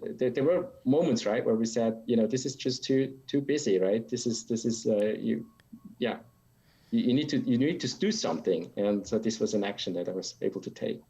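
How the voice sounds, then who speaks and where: A middle-aged man from Switzerland answering casually as an interview guest, halting and repeating words as he thinks. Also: medium pitch, warm tone, slightly rough. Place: home office that doubles as playroom. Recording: dull, lo-fi video call audio.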